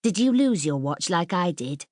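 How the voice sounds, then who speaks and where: A woman's voice: fairly low pitch, very animated, moderate pace, a little mellow, no room sound, studio quality. A woman voicing an earnest, sensible, inquisitive young girl in a children's audiobook. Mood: curious. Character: curious, sensible young girl, questioning and wary.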